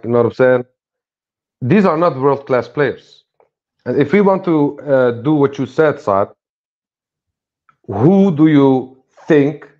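A man's voice: medium pitch, darkish tone, highs cut off. A man on a video call chatting casually about football, laid-back and often tagging "you know what I'm saying". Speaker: podcast panelist.

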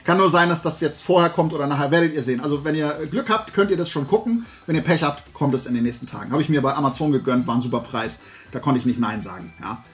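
A middle-aged man with a slightly high voice hosts a casual video. He talks to his viewers in a colloquial, enthusiastic way, as if chatting with friends. Faint music plays behind him. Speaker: YouTube host showing new toys. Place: indoor room with shelves of collectibles.

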